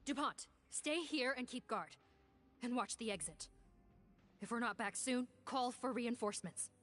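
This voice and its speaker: A woman playing a brisk, commanding guard officer in a video game, authoritative and clipped. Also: fairly high pitch, expressive, a bit bright, faint music behind.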